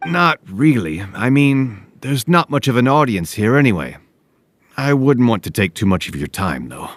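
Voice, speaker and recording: An earnest troupe leader. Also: male voice, medium pitch, normal speed, dry recording. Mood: eager. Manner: earnest and apologetic, easily excited. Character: friendly, apologetic male troupe leader. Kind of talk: video game cutscene dialogue.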